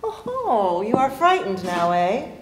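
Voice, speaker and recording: A woman's voice: a little high, wildly swooping pitch, takes it slowly, exaggerated voice. An actress plays a flirtatious, mysterious woman in a period stage drama. She coaxes and teases in a theatrical way. The sound is echoey, with slight background noise. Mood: teasing. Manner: coy, teasing, dramatic delivery. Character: mysterious, flirtatious, coaxing woman at masquerade ball.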